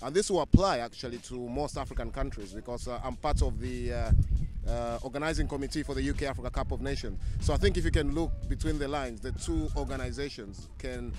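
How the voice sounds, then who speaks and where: A man's voice: mid-range voice, a little fast, noisy background. A man speaking as a football club's publicity secretary, formal, with frequent fillers. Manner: frequent uhs, formal phrasing.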